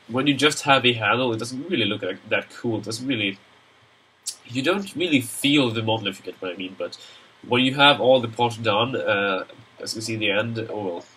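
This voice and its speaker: A man's voice: lowish voice, sharp tone, husky, low-quality recording. Nasal Swedish-accented young man. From Sweden. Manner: rambling, chatty, many fillers and restarts. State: nasal from a cold. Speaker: tutorial narrator. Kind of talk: casual screen-recorded tutorial narration.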